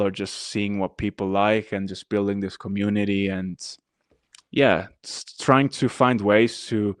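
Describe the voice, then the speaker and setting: A man's voice: on the low side, smooth, no echo, clean studio sound. A man from the mountains of northern Italy, a guest on a podcast, talking casually with many fillers and restarts. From Dolomites region of northern Italy. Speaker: guest, activist rapper. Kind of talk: casual interview talk.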